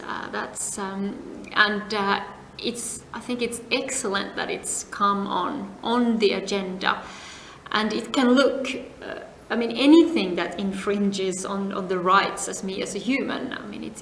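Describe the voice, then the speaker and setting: Middle-aged woman from Finland, measured interview answers, slightly gravelly tone, recorded in an echoey room. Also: medium pitch, a bit bright. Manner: measured, frequent "uh" pauses, trailing off to a near whisper. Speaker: interviewee, compliance advisor. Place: seminar venue. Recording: echoey room, faint noise.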